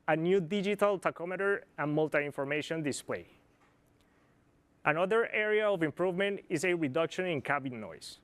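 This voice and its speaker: A man from Colombia with a Colombian Spanish accent, a product planner giving a measured, scripted corporate presentation. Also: high-pitched, clean tone. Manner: measured, informative, occasional slips.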